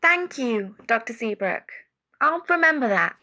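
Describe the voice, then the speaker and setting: A woman's voice: fairly high pitch, animated, unhurried, pushed and bright. A woman playing an anxious, devoted caller to a radio advice show in an audio drama, hesitant and earnest. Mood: grateful. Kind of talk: scripted audio drama phone-in.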